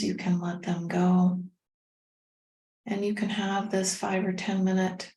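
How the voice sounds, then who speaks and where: A woman leading a guided meditation as a teacher, speaking in a gentle, unhurried, soothing way with pauses. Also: medium pitch. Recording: roomy, echoing sound.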